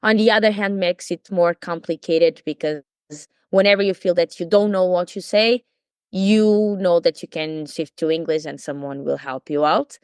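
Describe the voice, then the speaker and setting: A woman's voice: fairly low pitch, steady tone, moderate pace, a little mellow, clean tone, no echo. A woman who grew up speaking Portuguese, a language teacher talking in a casual podcast conversation, thoughtful, with many fillers and restarts.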